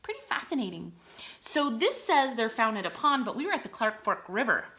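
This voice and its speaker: A woman reading aloud to children like a teacher, enunciating deliberately and explaining words simply as she goes. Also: on the high side, big pitch swings, pushed and bright.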